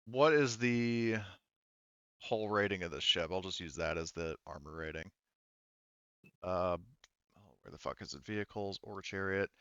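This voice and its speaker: Male game master, low clear voice, informal tabletop narration, dry lo-fi recording. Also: unhurried, long pauses, fairly bright tone, no echo. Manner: informal, swearing. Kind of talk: running a tabletop roleplaying game, casual explaining.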